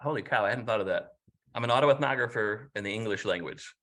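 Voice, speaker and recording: A man's voice: fairly low pitch, fast, a bit bright. A man interviewing a fellow scholar, asking questions conversationally and responding with affirming, enthusiastic asides. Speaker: interviewer, professor.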